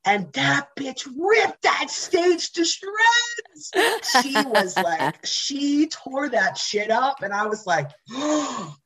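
A woman guest in a casual interview, telling candid, animated stories, swearing casually and laughing often. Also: sits high, slow, hardly any pauses. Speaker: interview guest, blues jam host.

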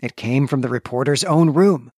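A man with a medium-pitched voice talks through a story as a podcast co-host. He is conversational and drops in rhetorical asides as he explains. The sound is dry, with very little room on it. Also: moderate pace, a little mellow, no room sound. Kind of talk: literary recap and discussion.

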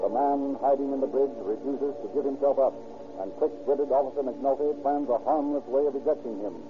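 A man's voice: mid-range voice, fairly even intonation, brisk, faint music behind, lightly acted. An actor playing an earnest American man in an old radio police drama. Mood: dramatic narration. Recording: tinny, very noisy old radio recording.

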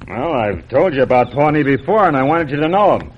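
An actor playing a sly, confident cattle rustler boss in an old radio western. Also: male voice, medium pitch, sing-song, brisk. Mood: sly, easygoing. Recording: muffled, hissy old radio recording.

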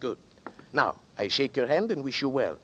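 A man's voice: medium pitch, big pitch swings, normal speed, a bit bright. A sly, scornful blackmailer who swings his voice up and down in mock politeness, savoring every threat. The sound is dull and narrow, like an old 1940s radio broadcast, with a faint hiss underneath. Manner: taunting, theatrical, mock-polite. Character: sly, mocking male blackmailer, scornful and smug. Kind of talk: 1940s radio drama acting.

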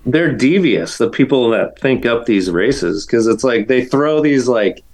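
A man's voice: mid-range voice, expressive, unhurried, a little mellow. A man in his forties, a podcast guest telling race stories in a rambling, self-mocking way full of fillers. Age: 40s. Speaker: guest runner. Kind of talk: casual chat, telling stories.

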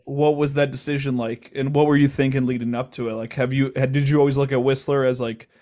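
A man's voice: mid-range voice, little pitch movement, quick pace, mellow. A man hosting a casual interview podcast, asking easygoing questions with loose restarts. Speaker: podcast host.

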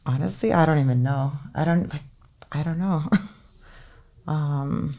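A woman's voice: low-pitched, takes it slowly, warm tone. A woman in her thirties from Finland, an entertainment lawyer talking as a podcast guest in a chatty way, full of "like" and "um". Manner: chatty, heavy use of "like" and "um" fillers. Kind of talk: casual interview chat.

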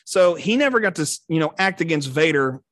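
A man with a Texan accent, co-hosting a casual podcast chat, excitable and rambling, often laughing. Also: on the high side, brisk, dry recording, clean studio sound. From Texas. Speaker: podcast co-host.

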